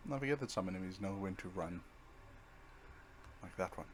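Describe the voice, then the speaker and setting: A man's voice: sits low, lively intonation, brisk, a little hiss or hum. A man giving casual, offhand commentary over his own video game playthrough, half talking to himself. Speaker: let's play host.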